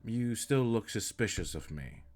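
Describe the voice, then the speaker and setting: A man's voice: fairly low pitch, expressive, a little slow, darkish tone. A man playing an earnest, caring alien spaceship captain in an audio roleplay, speaking in stiff, formal, precise sentences. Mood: reassuring. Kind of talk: scripted roleplay audio drama, addressing the listener.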